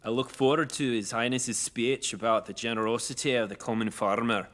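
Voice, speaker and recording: An actor playing a wry, plain-spoken farmer with a dry, sardonic manner in a fantasy audio drama. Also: male voice, mid-range voice, fairly even intonation, fairly quick, fairly bright tone, close to an everyday voice.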